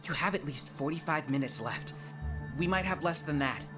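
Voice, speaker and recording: A man's voice: a little high, animated, normal speed, over music, natural voice. An actor playing a worried, frightened male technician in a dramatised audiobook, anxious and earnest. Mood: grim, worried.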